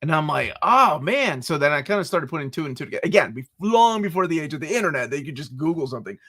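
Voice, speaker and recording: A man in his forties from Peru hosting a casual interview, excitable and joking, often jumping up in pitch when animated. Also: quick pace. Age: 40s. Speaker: podcast host.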